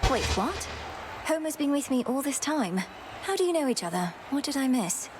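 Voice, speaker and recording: A woman's voice: high voice, sing-song, normal speed, background noise. A woman playing an earnest, defiant young princess of the underworld in a video game, with formal speech. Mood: challenging, puzzled.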